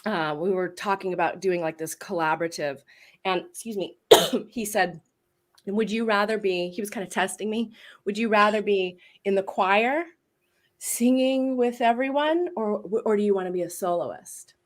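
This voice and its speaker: A midlife woman with a big voice, telling her story as a voice coach in a casual interview, lively and laughing often. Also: mid-range voice, moderate pace. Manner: expressive storyteller with bursts of laughter. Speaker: voice coach and guest.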